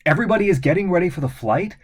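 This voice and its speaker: A man's voice: high-pitched, expressive, racing, husky, pitched above the performer's own voice. A man voicing a clever, cheeky thirteen-year-old boy hero in a children's audiobook, eager, bold and emphatic. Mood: puzzled.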